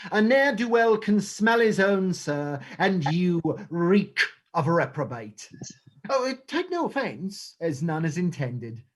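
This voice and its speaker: An actor playing a sly, menacingly courteous male crime boss in a stage play reading, theatrical and mock-polite. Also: medium pitch.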